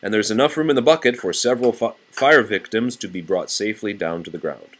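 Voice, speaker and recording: A man's voice: lowish voice, fast talker, fairly bright tone. A man reading a nonfiction children's book aloud to a child in a plain, explanatory way.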